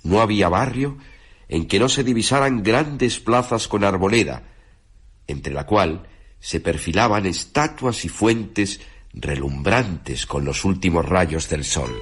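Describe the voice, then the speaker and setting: A man with a Castilian Spanish accent giving a formal, measured literary reading on the radio. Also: deep voice, lively intonation, slightly rough. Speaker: radio reader and narrator. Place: radio studio. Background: faint music.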